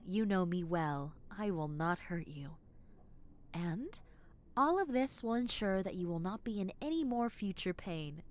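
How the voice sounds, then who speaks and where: A woman playing a soft, slow-spoken dentist. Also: mid-range voice. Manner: soft, gentle and reassuring, slipping into whispers; playful, with frequent soft giggles. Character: kind, caring adult female dentist with a teasing side. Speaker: voice actress in audio roleplay. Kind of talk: ASMR roleplay.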